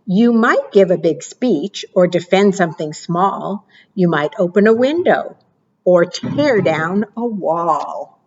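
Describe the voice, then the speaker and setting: A woman librarian reading picture books aloud to preschoolers, with expressive, animated delivery, asking the children questions and praising their answers. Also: slow, slightly rough, lo-fi sound. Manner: expressive, animated read-aloud with playful pitch jumps.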